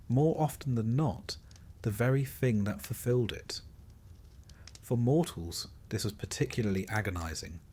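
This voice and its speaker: A man calmly narrating a scripted bedtime story, phrasing it deliberately in short, measured pieces. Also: on the low side, breathy edge.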